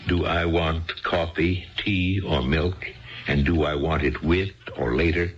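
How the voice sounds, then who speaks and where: An actor playing a stuffy, condescending, bookish husband in a 1970s radio drama, pedantic, sarcastic and coldly impatient. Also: male voice, extremely low pitch, steady tone, a little slow, lo-fi sound. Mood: pedantic, annoyed. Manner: pedantic, sarcastic, clipped precise wording.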